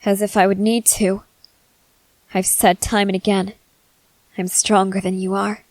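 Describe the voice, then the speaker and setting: Casting: actress as stern young female fighter, wary and intense, unhurried delivery, very dry close sound, ASMR drama. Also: mid-range voice, little pitch movement, darkish tone, no echo, natural voice. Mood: threatening. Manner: slow, deliberate, terse, intense delivery with pauses. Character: stern young female soldier, suspicious, guarded, threatening. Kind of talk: ASMR character roleplay, dramatic acting.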